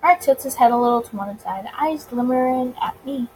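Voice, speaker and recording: A woman reading a visual novel aloud in an expressive narrating style, occasionally tripping over words. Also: high voice, fairly quick, a little hiss or hum, poor audio.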